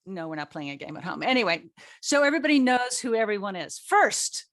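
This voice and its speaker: A woman's voice: medium pitch, big pitch swings, fast talker, a bit bright. A woman moderating a panel, giving a scripted introduction with joking, self-deprecating asides and theatrical emphasis.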